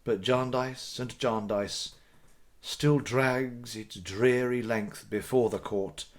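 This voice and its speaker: A man narrating classic literature as a dramatic audiobook, with theatrical, deliberate phrasing and pauses for effect. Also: mid-range voice, steady tone.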